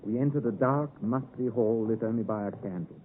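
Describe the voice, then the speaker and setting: An actor playing a grown gentleman recalling his boyhood in measured, literary 1950s radio drama narration. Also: on the low side, a little hiss or hum, natural voice. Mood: reflective, earnest. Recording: tinny 1950s radio broadcast, music behind.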